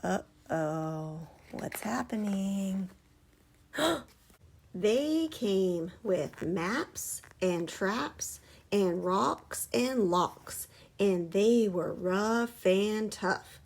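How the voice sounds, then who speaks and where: A woman reading a children's storybook aloud like a kindergarten teacher, expressively, pausing to put questions to young listeners. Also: mid-range voice, lively intonation, darkish tone.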